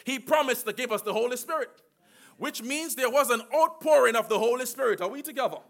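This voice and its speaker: A man preaching a sermon with emphatic call-and-response and slow, drawn-out phrases. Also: very high voice, expressive, a bit bright.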